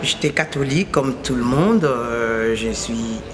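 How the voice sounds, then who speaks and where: A woman's voice: a bass voice, unhurried, crowd noise. Congolese woman giving religious testimony. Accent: Congolese French. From Kinshasa, Congo. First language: Lingala. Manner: repetitive, emphatic, phrases restated in lists. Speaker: testifying believer.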